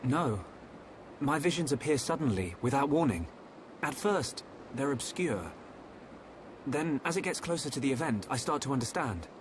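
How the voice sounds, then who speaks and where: An earnest young fantasy hero, acted by a man, thoughtful and questioning. His mid-pitched voice rises and falls freely in game cutscene style, with some faint noise behind. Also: animated, moderate pace, lightly acted. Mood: earnest, puzzled. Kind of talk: scripted video game cutscene acting.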